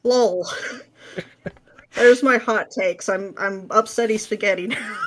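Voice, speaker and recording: A woman's voice: mid-range voice, takes it slowly. A woman guesting on a podcast, ranting casually with exasperated sarcasm and dramatic, mimicking emphasis.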